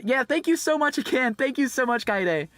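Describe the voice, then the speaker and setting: A man chatting casually with his livestream viewers, rambling and animated, with lots of fillers and quick laughs. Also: very high voice, no echo. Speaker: streamer and singer.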